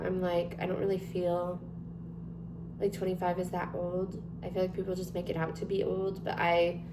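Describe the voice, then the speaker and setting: A woman in her twenties chatting casually to camera, rambling with many ums and likes. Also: lowish voice. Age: 20s. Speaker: vlogger. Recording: echoey room with noticeable hum.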